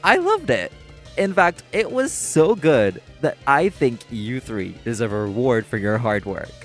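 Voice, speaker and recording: An actor playing a primly formal, sarcastic, exasperated waiter in an audio roleplay. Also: male voice, mid-range voice, very animated, measured pace, soft background music. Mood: sarcastic, vengeful amusement.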